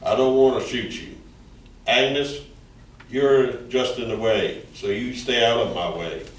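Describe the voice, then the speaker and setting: A man playing a smooth-talking, menacing gangster in an amateur stage reading. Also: mid-range voice, measured pace, natural voice. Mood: threatening. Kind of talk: amateur community theatre reading. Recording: roomy, distant mic with background noise.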